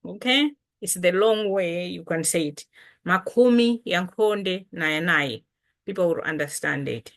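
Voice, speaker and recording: Slow, unhurried woman from Malawi teaching. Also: lowish voice. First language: Chitumbuka. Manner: unhurried, patient, repeats and spells out words. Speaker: language teacher and channel host. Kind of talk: casual language lesson to camera.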